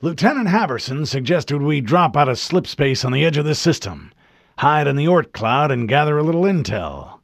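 A man voicing a gruff, commanding older admiral with a folksy drawl, in an audiobook. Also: a little high, sing-song, normal speed, no room sound, clean studio sound, voice pushed up, exaggerated voice. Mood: confident.

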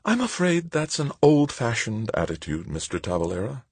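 A falsely cheerful middle-aged woman official from an audiobook, acted out by a man. The voice is lifted high and husky, lilting in a sing-song. The manner is sugary, pious and condescending, as if addressing a small child. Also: huge pitch swings, normal speed, darkish tone, no room sound, heavily acted. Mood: hardened scolding. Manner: sing-song, condescending schoolteacher tone, syrupy sweet. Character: plump fortyish woman bureaucrat, falsely cheerful, patronizing, pious. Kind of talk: audiobook character dialogue.

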